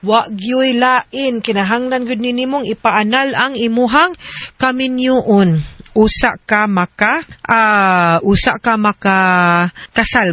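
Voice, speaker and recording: A woman's voice: medium pitch, a bit bright, no echo. A Filipino woman with a Cebuano accent, hosting a conversational radio advice segment as a lawyer, explaining directly and slipping into English. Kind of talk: conversational radio advice, reading listener letters and answering. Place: radio production studio.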